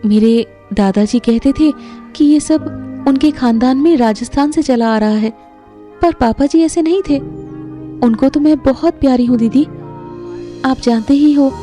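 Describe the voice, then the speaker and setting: A young woman playing a sweet, trusting unwed mother in an audio drama, speaking softly and earnestly. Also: high-pitched, unhurried, soft background music. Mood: earnest, reassuring. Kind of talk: Hindi audio drama acting.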